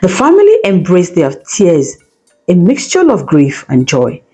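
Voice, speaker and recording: A woman's voice: lowish voice, wildly swooping pitch, a little slow, dark tone, breathy edge. A woman narrating a scripted folktale as a storyteller, with music playing behind her. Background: background music.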